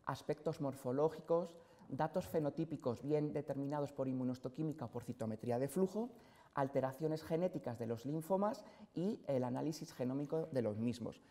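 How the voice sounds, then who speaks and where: Middle-aged spanish doctor guy giving a lecture, calm and explaining, lots of "eh", big echoey hall. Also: medium pitch. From Spain, Castilla-La Mancha. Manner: measured, explanatory, frequent "eh" fillers. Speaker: hematologist lecturer. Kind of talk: scientific seminar lecture. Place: hospital auditorium. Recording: long hall reverb from a lecture hall.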